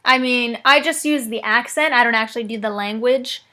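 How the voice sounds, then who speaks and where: Woman, prank caller, mock-serious, teasing, high bright voice. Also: fairly quick. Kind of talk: prank phone call.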